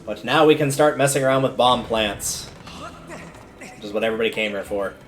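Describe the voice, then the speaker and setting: A man's voice: mid-range voice, brisk, a bit bright. A man livestreaming a video game, giving casual commentary and thinking aloud in a conversational way as he plays. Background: video game music.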